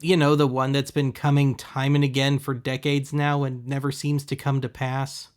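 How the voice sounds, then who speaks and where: A man's voice: mid-range voice, monotone, moderate pace, clear, resonant tone. A man hosting a thrift-shopping video, giving casual voice-over commentary in a wry, sarcastic manner.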